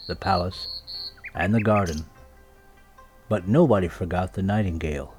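A man narrating a children's story with expressive, scripted storytelling delivery. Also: low-pitched. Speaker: storybook reader. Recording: music laid behind the voice. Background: music and sound effects.

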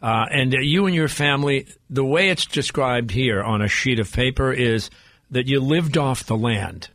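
A man's voice: mid-range voice, moderate pace, fairly bright tone, no room sound. A man hosting a radio talk show in a casual interviewing style, speaking into a studio microphone. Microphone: close studio microphone.

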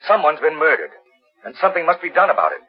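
A man's voice: on the high side, wildly swooping pitch, fast talker. An actor playing an earnest, frightened man pleading insistently for help in a 1940s radio drama. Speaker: actor in a detective radio serial. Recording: muffled 1940s radio recording.

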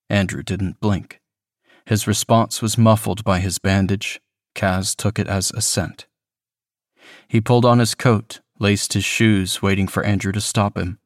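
A man's voice: on the low side, no room sound, studio quality. A man from the southern US narrating a horror story from a script, as an audiobook reader would. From southern US, Louisiana.

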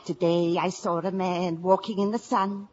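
A woman's voice: on the low side, steady tone, normal speed, darkish tone, muffled recording, close to an everyday voice. An actress playing an old Irish village wise woman, portentous and sing-song, in a 1950s radio drama. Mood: ominous, mysterious.

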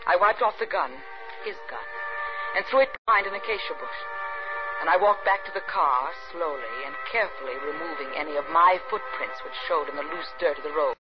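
An actress in 1950s radio suspense style, playing a cold, calculating wife who murders her husband, composed and deliberate. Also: low voice, close to an everyday voice. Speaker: actress narrating in first person. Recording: muffled vintage radio recording with music.

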